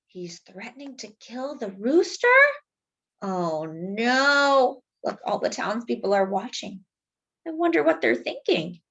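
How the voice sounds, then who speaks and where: A woman's voice: on the high side, sing-song, takes it slowly, breathy edge, highs cut off. A woman hosting a children's storytime, reading a picture book expressively and dramatically for young listeners. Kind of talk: animated picture-book read-aloud.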